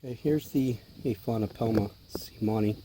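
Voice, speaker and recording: A hoarse man with a mellow, slightly low voice talks casually while feeding his pets. His manner is chatty and offhand, and he sometimes drops to a near-whisper. A faint hiss sits under the recording. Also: normal speed, poor audio. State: hoarse, clearing his throat. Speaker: tarantula keeper filming feedings. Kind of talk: casual hobby vlog narration.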